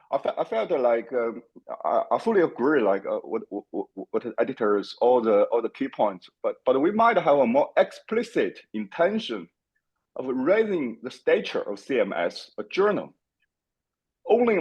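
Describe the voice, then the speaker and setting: A man in an online workshop making a comment haltingly, full of repeated words and "like, uh". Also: medium pitch, husky, highs cut off. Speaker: workshop participant. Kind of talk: casual discussion comment.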